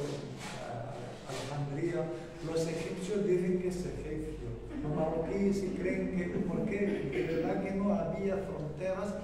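A man speaking informally on a discussion panel, in halting phrases. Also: fairly high pitch. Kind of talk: informal round-table discussion. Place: museum lecture room, table microphones. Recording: very echoey hall, distant, faint noise.

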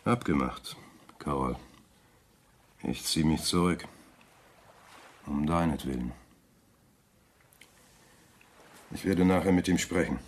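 Radio play role: wealthy middle-aged manipulator, very low urbane voice, condescending, faint noise behind. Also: male voice, a little slow, pauses often. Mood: cool, resigned. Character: rich, suave, scheming older rival and ex-husband. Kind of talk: radio drama acting.

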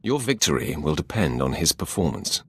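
Very deep, menacing dark lord. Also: male voice, big pitch swings, moderate pace, heavily acted. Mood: disdainful. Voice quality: gravelly, raspy. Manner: cold, commanding. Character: menacing, imperious male Sith lord. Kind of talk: video game dialogue.

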